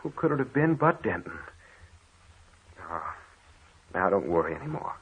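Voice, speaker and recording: A muffled vintage radio recording of a steady, protective husband in a horror play. His voice is low and very husky, with period dramatic delivery. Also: normal speed, exaggerated voice. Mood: soothing. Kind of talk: 1930s radio drama acting.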